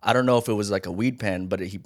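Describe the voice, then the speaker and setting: A man's voice: fairly low pitch, fast talker. An American man hosting a casual podcast chat, talking in slangy, profane, bro-heavy language. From Hawaii and Las Vegas, United States. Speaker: podcast host.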